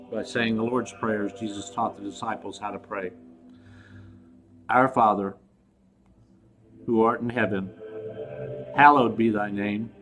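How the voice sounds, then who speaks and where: A man leading a devotional prayer, reciting it in a solemn, measured way. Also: mid-range voice, fairly bright tone. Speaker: prayer leader. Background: music.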